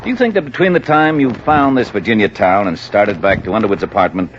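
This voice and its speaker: A man's voice: on the low side, lively intonation, fast talker, natural voice. An actor playing a methodical male homicide lieutenant questioning a witness in a 1950s radio drama. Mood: probing. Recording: dull lo-fi old radio broadcast with faint hiss.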